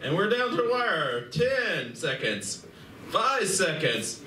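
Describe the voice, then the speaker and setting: A man's voice: high voice, big pitch swings, takes it slowly, pushed and bright, exaggerated voice. A man playing an earnest assistant game coordinator in a radio play, doing sports-style commentary. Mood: earnest commentating. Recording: distant, echoey room with faint noise.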